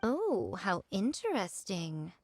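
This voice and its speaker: A woman's voice: medium pitch, huge pitch swings, takes it slowly, a little mellow, no room sound, exaggerated voice. A woman playing a sly, self-assured shrine maiden spirit in a video game, poised and playfully aloof. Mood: amused, aloof. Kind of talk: video game dialogue acting. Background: game music.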